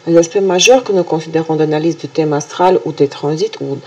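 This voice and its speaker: Low-voiced woman with a Russian accent. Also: dark tone. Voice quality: halting, frequent hesitations and restarts. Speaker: astrologer. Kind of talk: weekly horoscope video.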